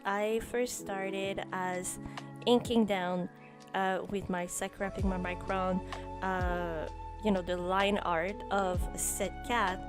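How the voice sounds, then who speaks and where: A young woman with a French Canadian accent, French her first language, narrating her journal drawing in a relaxed, rambling way with many ums. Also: mid-range voice. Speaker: journaling YouTuber. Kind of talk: voice-over narration of a drawing session. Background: background music.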